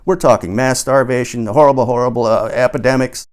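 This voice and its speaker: A man's voice: mid-range voice, fairly quick, darkish tone, natural voice. Man voicing an urgent, ranting stoner conspiracy theorist. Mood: alarmed, urgent. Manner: emphatic, alarmed, preachy delivery. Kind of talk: audiobook character dialogue.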